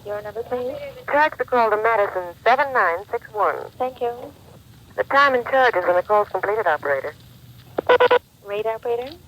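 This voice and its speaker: An actress playing a brisk, businesslike long-distance telephone operator in an old radio drama. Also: female voice, on the high side, animated, fairly bright tone. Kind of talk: 1950s radio drama acting. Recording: noisy old radio telephone-exchange sound.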